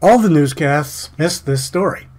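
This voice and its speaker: A man's voice: a little high, animated, a little slow, darkish tone. A man reading scripted, polemical voice-over narration.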